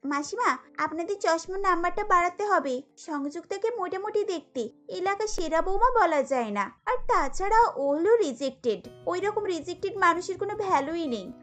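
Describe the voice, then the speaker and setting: A woman's voice: extremely high pitch, expressive, moderate pace, a bit bright, faint music behind, voice pushed up. A woman voicing a haughty, spiteful young sister-in-law in a cartoon, scornful and bossy. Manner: scornful, taunting.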